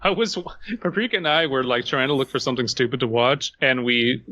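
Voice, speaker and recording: A man co-hosting a podcast in casual comedic banter, giving his opinions with some excited stammering. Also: mid-range voice, fairly quick. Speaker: podcast co-host.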